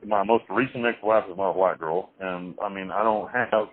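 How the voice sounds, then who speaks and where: A man being interviewed over the phone, telling his life story in a rambling, halting way with many restarts and some blunt, crude wording. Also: low-pitched. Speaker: interview guest. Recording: tinny phone line.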